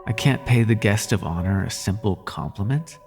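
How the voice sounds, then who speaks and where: A man's voice: lowish voice, normal speed, gravelly, music in the background. A man playing a suave, teasing demon prince of nightmares in an intimate audio roleplay, with courtly, lingering delivery. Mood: teasing flattery.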